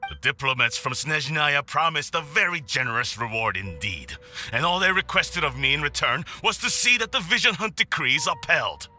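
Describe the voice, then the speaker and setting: A man's voice: a little high, lively intonation, moderate pace, bright, forceful tone, soft background music. A man voicing a proud, fanatical middle-aged clan lord in a video game, imperious and grandiose.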